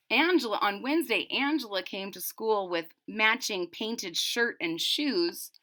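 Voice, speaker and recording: A woman's voice: mid-range voice, pushed and bright. A woman reading a children's storybook aloud expressively and explaining it to young listeners in asides. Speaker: storybook reader.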